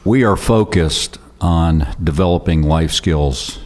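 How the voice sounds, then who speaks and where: A man's voice: low-pitched, takes it slowly, darkish tone. A man from Chicago, a youth golf program leader, speaking earnestly as a radio guest. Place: radio studio.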